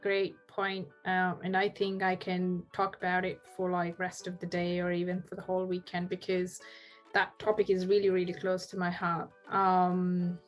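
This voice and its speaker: A woman's voice: on the low side, monotone, normal speed, soft background music. A woman in her thirties, a podcast guest on a video call, talking earnestly and often restarting as she searches for words. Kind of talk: casual video-call interview. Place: home room.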